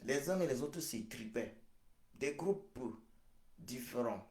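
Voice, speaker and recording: Man, activist addressing supporters, slow deliberate delivery, hesitant fillers, echoey room. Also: mid-range voice, animated, a little mellow. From Cameroon. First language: Ghomala' (Baham). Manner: emphatic, deliberate, repeats phrases with frequent hesitations. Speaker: activist leader. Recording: roomy echo.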